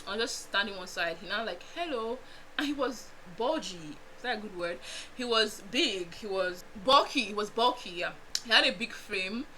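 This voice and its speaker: A young Nigerian woman with a Nigerian accent, chatting casually to her viewers in an animated storytime vlog, laughing often and making asides. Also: medium pitch, moderate pace, a little hiss or hum, low-quality recording. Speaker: vlogger.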